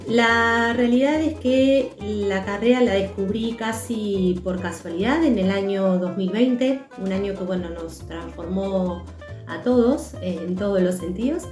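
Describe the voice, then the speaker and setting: A woman's voice: mid-range voice, unhurried, a little mellow. A woman, a copywriter speaking casually in a recorded testimonial. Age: 40s. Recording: roomy room with guitar music.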